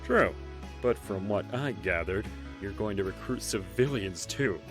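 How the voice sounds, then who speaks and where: An actor voicing a smug, manipulative government official in a visual novel, cool, dismissive and sardonic. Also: male voice, lowish voice, animated, unhurried. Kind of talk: English visual novel voice acting. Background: music and instruments.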